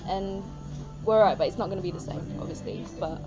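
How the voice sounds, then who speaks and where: A woman's voice: mid-range voice, fast talker. A woman in her twenties from Singapore, speaking casually and hesitantly in an interview with many fillers. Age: 20s. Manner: hesitant, lots of ums and likes. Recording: dull lo-fi sound with loud music.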